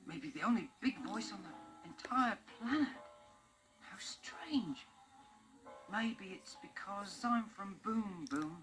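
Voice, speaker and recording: A woman's voice: medium pitch, expressive, slow pace, fairly bright tone, faint music behind, low-quality recording. A woman voicing a jittery, curious young boy on a space trip, stammering as he talks, in a 1970s storybook record. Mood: wondering. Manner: stammering, hesitant.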